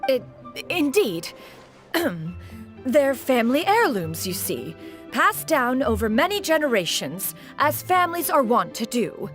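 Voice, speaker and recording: A woman's voice: high voice, sing-song, slow pace, full character voice. An actress voicing a haughty ancient immortal woman in a video game, speaking formally and archaically in an imperious manner. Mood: indignant, haughty. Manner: formal, archaic, imperious speech, calling herself "one". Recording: music under the voice.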